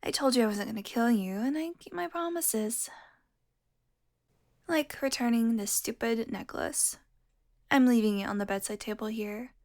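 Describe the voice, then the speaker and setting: A woman playing a seductive, teasing vampire who is smugly tender toward her prey, in an intimate audio roleplay with breathy, slow murmuring. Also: on the high side, a little mellow, close to an everyday voice. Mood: wry, caring. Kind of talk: intimate audio roleplay, addressed to one listener.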